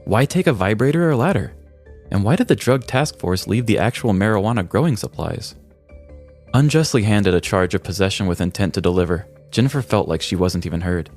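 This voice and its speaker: Male podcast host, very low voice, scripted comedic crime narration over a music bed. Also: lively intonation, fairly quick, darkish tone.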